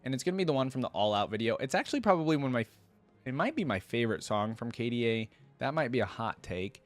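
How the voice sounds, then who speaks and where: A man's voice: mid-range voice, expressive, fast, dry recording, soft background music. A man hosting a reaction video, talking informally and thinking aloud, sometimes reading aloud to his viewers.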